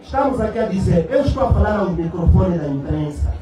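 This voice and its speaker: High-pitched Angolan man shouting a rally speech. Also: animated, a little fast, soft background music. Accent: Angolan Portuguese. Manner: impassioned, declamatory, repeats rhetorical questions to the crowd. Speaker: political party secretary addressing a rally. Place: open-sided outdoor rally stand under a canopy. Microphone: cluster of press microphones and PA. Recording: echoing PA, distant, noisy crowd. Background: rally crowd.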